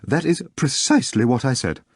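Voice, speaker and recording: A man's voice: big pitch swings, brisk, no echo, studio quality. A man voicing a learned middle-aged male editor who lectures insistently in a raised voice. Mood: polite. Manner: lecturing, high-pitched and insistent.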